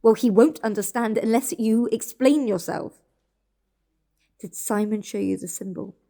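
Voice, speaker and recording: British woman, anxious and insistent, warm dark-edged voice, naturalistic radio play. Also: on the high side, measured pace. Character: anxious, insistent woman questioning her relatives in hospital. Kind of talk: naturalistic audio drama acting.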